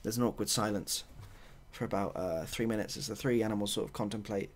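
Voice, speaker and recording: A softly spoken man improvising a story in a hesitant, rambling, deadpan way. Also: fairly low pitch, a little fast. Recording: clearly audible background noise.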